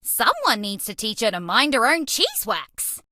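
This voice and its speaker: Girlish alien mouse, video game character, coy, playful, dramatic, very animated, slow-paced. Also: fairly high pitch, dry recording, clean studio sound, heavily acted. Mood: alarmed, indignant. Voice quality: cutesy, girlish.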